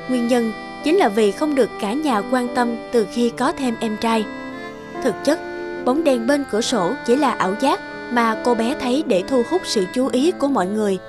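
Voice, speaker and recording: A woman narrates animated stories from a script. Her voice is high, and music plays clearly behind her.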